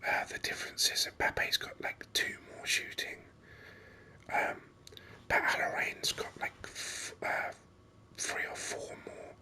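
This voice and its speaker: Whispered ASMR gaming host: very bright, sibilant breathy whisper, slow and rambling but keen. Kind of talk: whispered ASMR game commentary.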